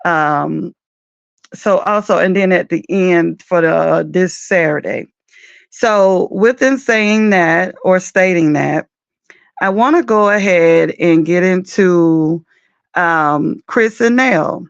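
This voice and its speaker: A woman with a raspy, deep morning voice, chatting loosely about reality TV as the host of a commentary show. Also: takes it slowly, no echo, lo-fi sound. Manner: chatty, loose, with many fillers and asides.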